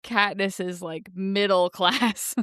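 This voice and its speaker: A woman with a smooth, clear voice at a middling pitch chats as a podcast co-host. Her delivery is casual and wry, full of joking and easy laughter, in a dry recording. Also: fairly even intonation, slow, a bit bright, no echo. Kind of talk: casual book discussion chat.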